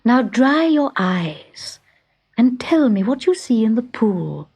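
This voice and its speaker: A woman voicing a gentle, wise fairy queen in a children's story, soft-spoken, patient and reassuring. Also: a little high, sing-song, a little slow, husky, exaggerated voice. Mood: gentle, consoling.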